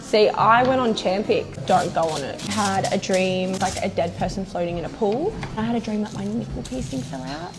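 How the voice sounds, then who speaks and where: A woman's voice: mid-range voice, lively intonation, normal speed, gravelly, few pauses. A young woman chatting casually in a salon chair, rattling off self-mocking jokes. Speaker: salon client. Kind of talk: casual chat.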